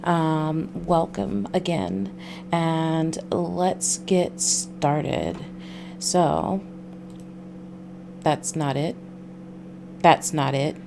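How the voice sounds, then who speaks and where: Low-pitched woman hosting a casual livestream. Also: steady tone, extremely slow, vocal fry, pauses often, noisy background. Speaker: livestream host. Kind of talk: casual game stream, reading a visual novel aloud.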